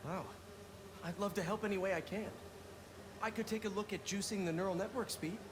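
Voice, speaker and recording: An actor playing a bright, eager young man scientist with lively, boyish excitement in a video game cutscene. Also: high voice, a little fast, noisy background, close to an everyday voice. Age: young adult.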